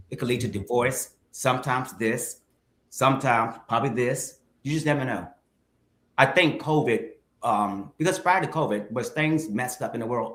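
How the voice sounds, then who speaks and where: Guest in his 50s, mid-pitched, reflective and candid, echoey room. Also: a bit bright. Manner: reflective, speaks to viewers directly. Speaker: guest, mukbang creator. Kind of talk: candid conversational interview. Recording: roomy echo.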